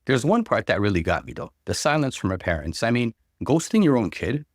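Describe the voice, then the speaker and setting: A man hosting a story channel, delivering scripted narration and conversational commentary with expressive emphasis. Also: lowish voice, brisk, no echo, clean studio sound. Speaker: host reading and commenting on online stories.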